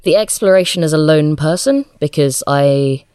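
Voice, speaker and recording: A woman's voice: low voice, normal speed, dark tone, clear, resonant tone, no room sound, clean studio sound. A woman chatting casually as an interview guest, a writer with a hesitant, self-deprecating manner.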